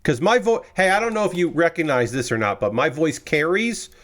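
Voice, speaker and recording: A man streaming live from a small room at home, chatting casually and rambling while he builds, with a loud booming voice. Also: expressive, fairly quick. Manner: rambling, chatty, restarts often.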